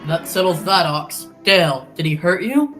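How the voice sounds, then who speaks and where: A man's voice: high voice, expressive, normal speed. A teenage male student actor playing a heroic, determined young space adventurer in old-time radio serial style. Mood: gallant, assured. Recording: music behind the voice.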